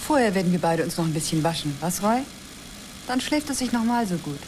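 An actress playing a forward, coaxing, excitable woman space traveller in a radio drama. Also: fairly low pitch, fairly quick, natural voice. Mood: cheery, enchanted. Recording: clearly noisy engine-like ambience behind.

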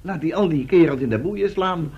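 A man's voice: on the high side, normal speed, a little mellow, slightly rough. An actor playing a practical, easygoing male captive in a Dutch radio play, speaking in a plain, matter-of-fact way. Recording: muffled, noisy old radio play recording.

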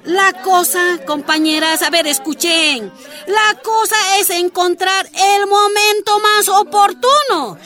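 Cautious motherly organizer woman, Bolivian accent, very high pitch, deliberate slow pace, persuasive, radio drama. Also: pushed and bright, faint music behind, full character voice. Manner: deliberate, drawn-out, reasoning. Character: cautious, shrewd female union leader, persuasive and motherly.

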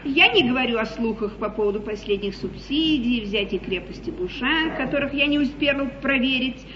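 Imperious, wavering queen: lively swooping theatrical delivery, noisy 1950s stage recording. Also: sits high, a little fast, roomy sound, highs cut off. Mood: gossipy, self-justifying. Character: wavering, easily flustered, imperious English queen. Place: theatre stage, before an audience.

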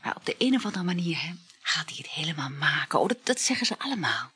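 Breathy, coy, flirtatious woman. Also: low-pitched, sing-song, quick pace, bright, forceful tone, exaggerated voice. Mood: boastful, dreamy. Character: flirtatious, teasing woman boasting about her lovers. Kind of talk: Dutch radio play acting.

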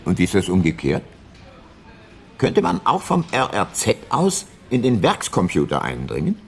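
An actor playing a shrewd, self-assured older private detective in a radio play, speaking in a measured, dry and probing manner. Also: male voice, lowish voice, huge pitch swings, gravelly, heavily acted. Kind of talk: radio play narration and dialogue.

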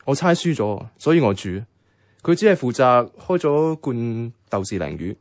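An actor playing a mild, wry young man narrating his life as a reluctant flatmate in a Cantonese radio drama, with a Hong Kong accent and a casual, understated delivery. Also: medium pitch, moderate pace. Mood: wry, reflective. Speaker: narrator and lead character. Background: background music.

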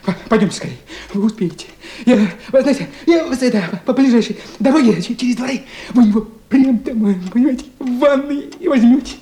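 A man's voice: a little fast, few pauses, dark tone. A man playing a cowardly, breathless, stammering informer in a radio drama, whining and repeating himself in jumbles. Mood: indignant, aggrieved. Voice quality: stammering, high-pitched, breathless. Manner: frantic, whining, jumbled repetitions. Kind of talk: Soviet radio drama acting. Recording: noisy, echoey vintage radio play recording.

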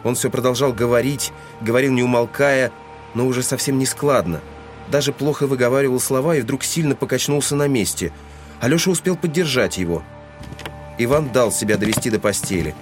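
A man narrates a literary audio drama from a script. His voice sits at an ordinary male pitch, with music clearly playing behind it. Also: a little fast.

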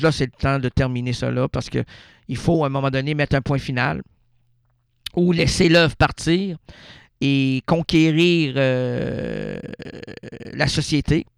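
A man's voice: medium pitch, animated, unhurried, creaky, pauses often, smooth, dry recording, clean studio sound. A man with a Québécois French accent hosting a radio show, rambling through a playful, absurd monologue and answering his own questions. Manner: playful, rambling, talks to himself. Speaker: radio host.

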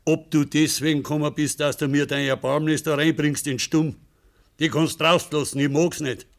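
An actor playing a bitter, stubborn old peasant farmer in a radio play, curt, sarcastic and defiant, in Bavarian dialect. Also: male voice, on the high side, flat delivery, normal speed, lightly acted. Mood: gruff. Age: older.

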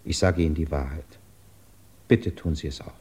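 Deep, husky, courteous British investigator. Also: male voice, warm tone, exaggerated voice. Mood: insistent, probing. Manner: formal, deliberate, restrained. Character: measured, courteous British government investigator, patiently probing. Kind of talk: 1950s German radio drama acting.

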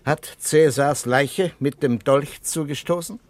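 An actor playing a stern, sceptical police inspector in a 1960s German radio crime drama, questioning in a dry, sarcastic way. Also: male voice, fairly high pitch, slow, no room sound. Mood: stern, pressing.

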